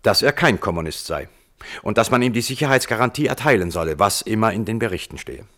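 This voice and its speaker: An actor playing a frank lawyer and former security chief giving dry testimony in a radio play. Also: male voice, lowish voice, expressive, fast, fairly bright tone. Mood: candid. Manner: matter-of-fact, candid. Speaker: witness at a hearing. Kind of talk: radio play courtroom acting.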